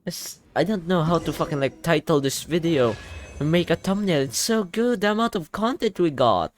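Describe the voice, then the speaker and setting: A young man giving casual game reaction commentary, excitable and thinking aloud. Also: fairly high pitch, normal speed, slight background noise. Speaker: gaming YouTuber. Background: game music.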